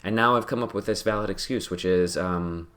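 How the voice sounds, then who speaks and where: A man with a lowish voice hosts a casual gaming podcast. He rambles, pokes fun at himself and laughs often as he talks with a friend. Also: a little fast, a bit bright. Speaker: podcast host playing a game. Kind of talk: casual chat while gaming.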